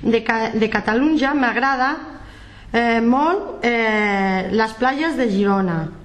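Slow, high-voiced woman from Ciudad Real. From Ciudad Real, Spain. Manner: hesitant, with fillers and restarts. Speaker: Catalan course student. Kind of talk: reading a short self-introduction.

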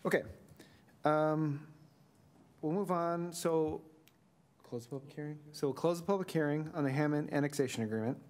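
A man's voice: a little high, moderate pace, pauses often, a little mellow. A man chairing a village board public hearing in a large council chamber, talking casually with some restarts.

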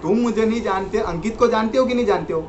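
A man putting on a curt, threatening street tough in a prank phone call, insistent and slangy. His voice is fairly high, in a dull recording with clear noise. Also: quick pace, lightly acted. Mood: pushy, irritated. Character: pushy male street tough demanding money. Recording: dull, noisy room recording.

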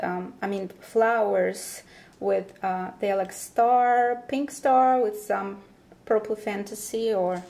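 A woman giving casual unboxing commentary as a vlogger, speaking haltingly in short phrases with pauses, recorded indoors at a table. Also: medium pitch, vocal fry, darkish tone. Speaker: vlogger presenting her plant unboxing. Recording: background noise with paper crinkling and clicks. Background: crumpling paper packaging.

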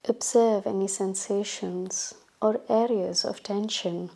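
Female yoga guide, very unhurried and soft-toned, soothing meditation delivery with long pauses. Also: medium pitch, steady tone, vocal fry, breathy edge. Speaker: yoga instructor.

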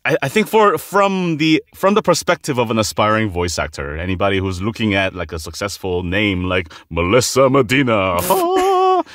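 A man with a low voice hosting a casual interview podcast. His pitch swings widely as he talks, he laughs easily, and he restarts his phrases. Recorded close on a dry mic. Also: very animated, normal speed, hardly any pauses, no room sound. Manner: laughs often, warm praise, restarts phrases. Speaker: podcast host.

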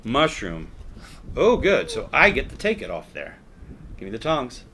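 A man's voice: medium pitch, wildly swooping pitch, moderate pace, sharp tone, a little hiss or hum. A man in his forties chatting casually and playfully as he hosts a toy review, joking and rambling. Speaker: father hosting a toy review show. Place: indoor room full of toys.

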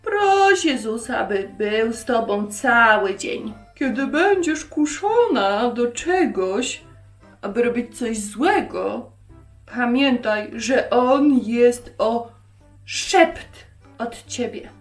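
A woman voicing a kindly old grandmother who gently teaches her granddaughter in a children's story. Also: a little high, animated, slow. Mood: earnest advice. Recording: roomy echo, music behind.

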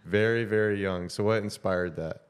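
A young man with a deep voice hosts a relaxed podcast chat. He talks into a handheld mic in an easygoing, upbeat way, tossing in quick asides and agreeing with his guests. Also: clean tone. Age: young adult. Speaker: podcast co-host. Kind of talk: casual podcast hosting. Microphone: handheld mic with headphones.

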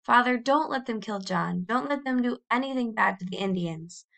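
A woman's voice: mid-range voice, measured pace, a bit bright. A woman voicing a frightened but brave young pioneer girl in a storybook, pleading earnestly and urgently.

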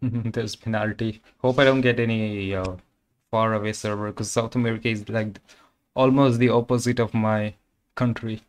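Smooth low-voiced Indian man from Kerala. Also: steady tone, normal speed. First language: Malayalam. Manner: chatty, loose phrasing with many fillers. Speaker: game streamer. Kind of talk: casual live-stream chat. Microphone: condenser microphone.

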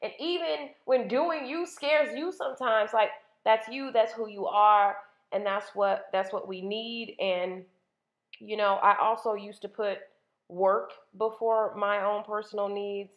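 A woman's voice: medium pitch, steady tone, measured pace. A woman in her thirties hosting a casual solo podcast, talking candidly with lots of "like" and "um". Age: 30s. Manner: chatty, candid, uses filler "like" and "um". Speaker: podcast host. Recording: roomy, dull lo-fi sound.

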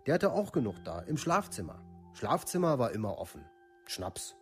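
A man's voice: medium pitch, very animated, normal speed, soft background music, close to an everyday voice. Actor as blunt young village man, matter-of-fact. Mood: casual, reminiscing. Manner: matter-of-fact, plain, unemotional recounting. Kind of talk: documentary radio play monologue, testimony.

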